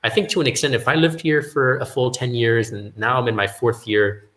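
A young man from New York chatting casually on a video call from home, pausing and repeating words as he thinks. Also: mid-range voice, steady tone, fairly bright tone. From New York, USA. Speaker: meetup participant. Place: bright room at home, indoors. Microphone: video call laptop mic. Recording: roomy echo.

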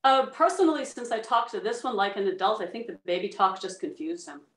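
A woman's voice: medium pitch, expressive, fairly quick, a bit bright. A woman presenting a research paper over a video call from a room at home. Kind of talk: dramatic reading of a scientific paper, then answering questions. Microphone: video call microphone. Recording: roomy, echoing video call audio.

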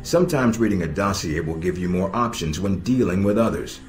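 An actor playing a calm, sardonic middle-aged spy handler in a video game, measured and dryly blunt. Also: male voice, deep voice. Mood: measured, instructive. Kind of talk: video game cutscene acting. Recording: roomy echo with music behind.

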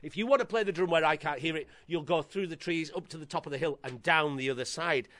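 A man's voice: on the high side, quick pace, fairly bright tone, close to an everyday voice. A man voicing a horrible, bossy old aunt from a folk tale, with a cackling old lady voice and a scolding manner. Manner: scolding, repetitive demands. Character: horrible old village aunt, bossy, grudging, drum-hating.

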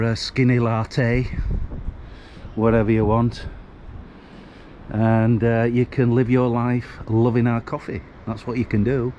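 A man's voice: mid-range voice, a little mellow, slight background noise. A man in his sixties with a Northern English accent, chatting in a rambling, folksy way as he vlogs on a walk. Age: 60s. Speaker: vlogger. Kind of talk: casual walking vlog chat. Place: outdoors on a seafront street. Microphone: handheld camera while walking.

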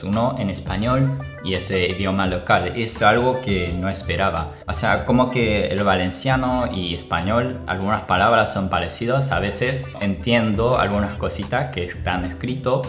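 A young man from Japan with a Japanese accent, speaking non-native Spanish in a casual, expressive vlog style to camera. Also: fairly low pitch. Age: young adult. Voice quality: non-native Spanish with small grammar slips. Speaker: vlogger. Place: small plain indoor room. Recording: roomy echo. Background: music.

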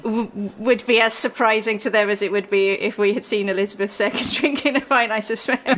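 Woman historian explaining stuff casually, normal mid pitch. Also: moderate pace, fairly bright tone, slight background noise. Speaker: historian guest. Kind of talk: casual explanatory interview talk.

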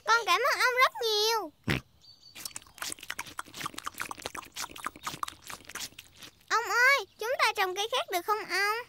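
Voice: extremely high pitch, brisk, bright, forceful tone, a little hiss or hum, heavily acted. An actor voicing an eager, polite little girl pig in a dubbed preschool cartoon. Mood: excited. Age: child character. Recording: music under the voice.

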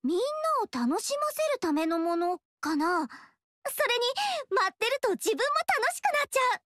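A woman's voice: very high voice, very animated, normal speed, no echo, exaggerated voice. An actress voicing a cheerful, friendly young female monk in a game. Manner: bright and friendly. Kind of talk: game dialogue. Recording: dry studio voice.

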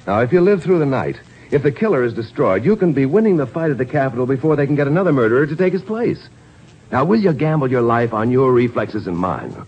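An actor playing an urgent, quick-thinking American lawyer in a 1950s radio drama. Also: male voice, medium pitch, big pitch swings, slightly rough. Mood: earnest, persuasive. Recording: dull, faintly noisy vintage radio broadcast.

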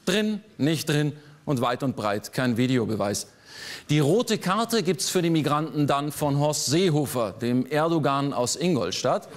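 A man in his forties performing a political cabaret monologue on a theater stage, sardonic, landing dry punchlines with sudden jumps in pitch. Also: expressive, a little slow, a little hiss or hum. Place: large theater stage before a live audience.

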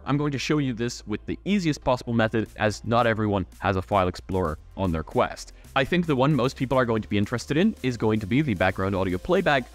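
A young man recording a tech tutorial in a small home studio, walking viewers through setup steps in a clear, explanatory way. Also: medium pitch. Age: young adult. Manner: explanatory, step-by-step instructions. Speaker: VR tech YouTuber. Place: small home studio room with neon light.

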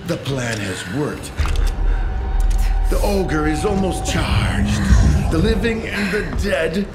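An actor playing a grief-stricken, unhinged man in a video game cutscene, with a halting, dramatic delivery. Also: on the high side, expressive, echoey room, over loud music. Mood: manic, exalted. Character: grief-stricken, unhinged man, pleading then raving zealot.

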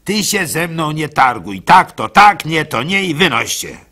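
Radio drama bride: male actor as sharp-tongued peasant woman, brash and defiant, pitched up, forceful. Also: very animated, measured pace, heavily acted. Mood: bossy. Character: brazen, sharp-tongued village woman haggling over marriage.

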